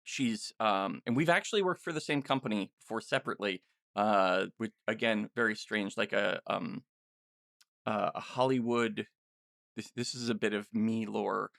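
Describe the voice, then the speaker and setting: A man with a creaky, gravelly voice talks as a podcast host in a close, dry recording. His delivery is casual and conversational, halting and restarting as he finds his words. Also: on the low side, fairly bright tone, no echo. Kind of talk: casual interview chat.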